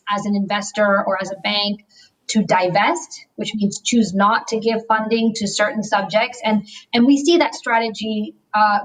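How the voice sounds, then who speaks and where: A woman banker answering webinar interview questions in a measured, explanatory way with frequent pauses and fillers. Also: medium pitch, poor audio. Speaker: banker on sustainability.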